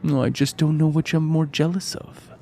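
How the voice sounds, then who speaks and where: A man's voice: on the high side, fairly even intonation, normal speed, gravelly, dark tone, slight background noise. Man playing a smug, taunting teenage jock. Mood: smug, mocking. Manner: mocking, condescending. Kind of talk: audio drama acting.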